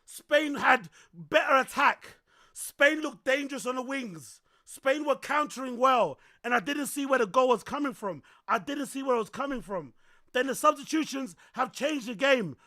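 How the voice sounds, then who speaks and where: A man reacting live to a football match, excitable and yelling and swearing at the screen. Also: very high voice, normal speed. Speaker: streamer host.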